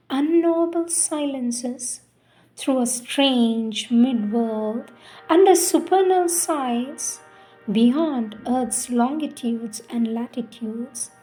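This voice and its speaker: Woman, fairly high voice, solemn poetry recitation, extremely unhurried, deliberate pauses, soft music underneath. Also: rough recording. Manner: measured, deliberate phrasing with pauses. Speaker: reader of spiritual poetry.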